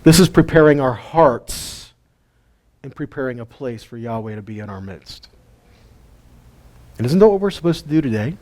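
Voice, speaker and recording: A man's voice: medium pitch, animated, normal speed, pauses often, a little mellow. A middle-aged man teaches scripture in a folksy way, asking his listeners questions and chuckling often. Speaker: Bible teacher. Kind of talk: casual Bible teaching.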